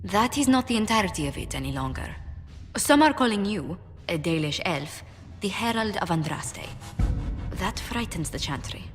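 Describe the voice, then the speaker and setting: An actress playing a poised, courtly lady ambassador in a video game, polite, articulate and measured. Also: mid-range voice, very animated, big-room sound, faint music behind, close to an everyday voice. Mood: courteous, concerned. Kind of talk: video game cutscene acting.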